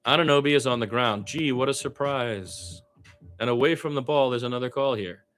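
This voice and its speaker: A man's voice: medium pitch, fairly quick, fairly bright tone. A man doing amateur radio-style basketball play-by-play, conversational, with his own opinions mixed in.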